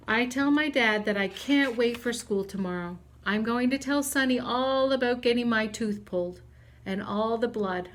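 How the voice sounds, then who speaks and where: A woman reading a children's storybook aloud like a teacher, in an expressive, deliberate storytelling manner. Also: a little high, a little hiss or hum.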